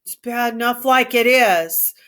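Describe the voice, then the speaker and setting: A woman's voice: on the high side, unhurried, a bit bright. A woman voicing a big, simple-minded ranch hand man who pleads and cries like a child. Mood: frightened.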